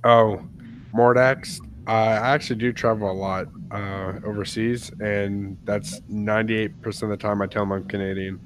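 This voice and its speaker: A low-pitched American man chatting on a podcast, loose and talkative with laughs sprinkled through his stories. A faint hiss of noise sits underneath his voice. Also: flat delivery, normal speed. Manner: chatty storyteller, laughs often. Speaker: podcast co-host. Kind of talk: casual chat.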